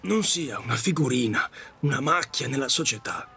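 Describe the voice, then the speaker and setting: A man's voice: medium pitch, sing-song, normal speed, bright, forceful tone, faint music behind. A man acting an earnest, polite and kindly taxi driver in an audio drama. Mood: earnest, pleading.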